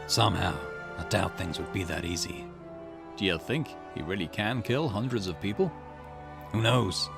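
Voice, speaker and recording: A grave detective in an occult mystery game acted out by a man. He speaks deliberately in a fairly low voice. Music plays clearly behind him. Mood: grim, regretful. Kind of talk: visual novel dialogue read aloud.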